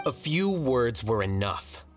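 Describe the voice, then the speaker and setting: An actor playing a coolly rational young male scholar in a video game, speaking in a measured, detached, analytical way. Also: medium pitch, sing-song. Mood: calm, explaining. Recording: music behind the voice.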